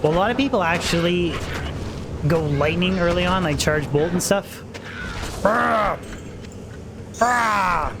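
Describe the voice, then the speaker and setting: A man's voice: sits high, animated, slow, long pauses. A man livestreaming a game with casual, rambling, slangy commentary, self-deprecating, his voice a little tired. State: voice tiring. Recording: clearly noisy background.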